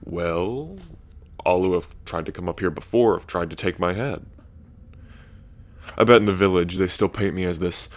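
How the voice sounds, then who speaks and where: Man playing a deep, slow, smug dragon king. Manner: taunting, drawling, amused. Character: ancient male dragon king in human form, smug and teasing. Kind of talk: roleplay ASMR.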